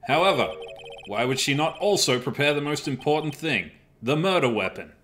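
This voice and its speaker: A man playing an earnest, excitable defense attorney, arguing emphatically in a fan dub of a courtroom game. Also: fairly high pitch, normal speed, a little hiss or hum. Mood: assertive. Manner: emphatic, argumentative.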